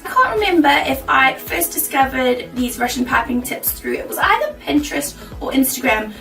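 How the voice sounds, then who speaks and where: Croaky chatty woman vlogger, high gravelly voice, faint music behind. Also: a bit bright, roomy sound, distant mic, poor audio. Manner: chatty, bubbly, laughs often. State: croaky, losing her voice. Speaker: baking vlogger. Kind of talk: casual chat to camera.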